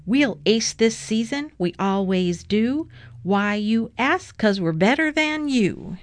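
A woman's voice: a little high, lively intonation, slow, no room sound, voice pushed up. A woman voicing a bossy, competitive young girl team captain in a brash, boastful manner for a children's storybook.

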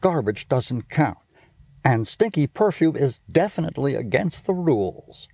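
A man's voice: mid-range voice, very animated, unhurried, vocal fry, mellow, husky, no echo, clean studio sound, voice pushed up, heavily acted. A man voicing a stubborn, indignant little boy with a raised, boyish voice and an earnest manner.

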